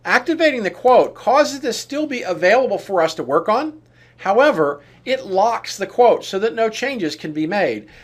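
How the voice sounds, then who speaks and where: Higher-pitched man giving a software walkthrough. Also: big pitch swings, normal speed. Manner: explanatory, step-by-step. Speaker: software demonstrator. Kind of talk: walkthrough tutorial narration of on-screen steps.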